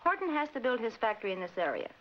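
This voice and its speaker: An actress playing a shrewd, confident young woman in a 1940s comedy film, brisk and coy with slangy lines. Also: on the high side, sing-song. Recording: muffled, noisy old film soundtrack.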